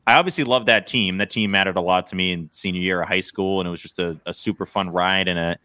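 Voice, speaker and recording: A man's voice: low voice, fairly even intonation, fast talker, bright, forceful tone, clear, resonant tone, no room sound, clean studio sound. An American man in his thirties talking casually and with opinions, like a sports podcast co-host. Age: 30s.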